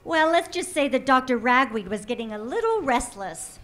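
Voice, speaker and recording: A woman's voice: high-pitched, animated, normal speed, a bit bright. A woman voicing a prim young assistant who speaks properly and patiently.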